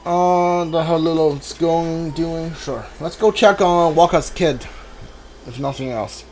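A man's voice: on the high side, slow pace. A man giving casual, off-the-cuff commentary as he plays a video game, muttering his thoughts aloud to himself. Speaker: video game streamer playing a blind playthrough. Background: video game music.

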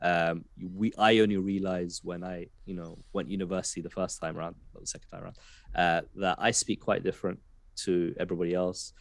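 A man in his thirties speaks in a very low voice with a West London accent. He muses slowly and hesitantly, full of fillers and restarts. The sound is dry and close, as on a headset during a video call. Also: level tone, vocal fry, no room sound. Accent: West London English. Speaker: poet guest. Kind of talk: casual podcast conversation. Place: home room, video call.

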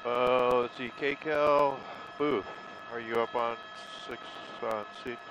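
A man's voice: medium pitch, steady tone, slow pace, background noise. A man giving offhand live commentary on a police chase from a news helicopter, with fillers as he talks.

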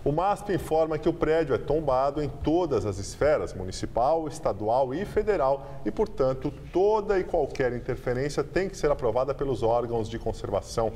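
A man's voice: mid-range voice, expressive, normal speed, few pauses, warm tone. A man anchoring the news in a studio, reading a script in a formal way. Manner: formal delivery.

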